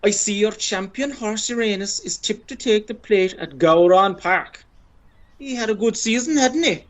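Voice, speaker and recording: A woman's voice: medium pitch, animated, normal speed, natural voice. A woman acting an ageing, boastful Irish male sporting champion in a radio play, chatty, pompous and persistent. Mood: wheedling, chatty. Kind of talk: radio play monologue and dialogue.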